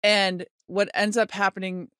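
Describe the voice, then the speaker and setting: A woman with a low voice for a woman, telling her own story in an earnest, halting way with many restarts. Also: level tone, bright, forceful tone, clear, resonant tone, no room sound, studio quality. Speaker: podcast guest. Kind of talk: candid personal storytelling.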